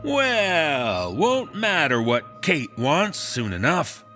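Sharp, swooping small-town threatener. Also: male voice, on the high side, big pitch swings, very slow, soft background music, full character voice. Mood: smug threat. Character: menacing small-town authority man, blunt and smug. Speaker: actor in a horror audio drama. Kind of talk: audio drama dialogue.

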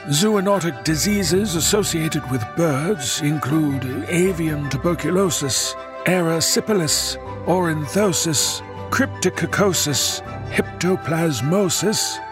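An actor playing a genteel, pompous radio documentary host, speaking in a formal, measured and solemn scripted style. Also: male voice, a little high, over music. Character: Forsyth Mercer, genteel male radio documentary presenter.

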